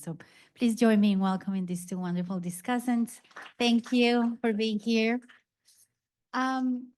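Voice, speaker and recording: A woman's voice: medium pitch, darkish tone. A woman moderator introducing panel speakers in a formal way, with frequent fillers. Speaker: event moderator.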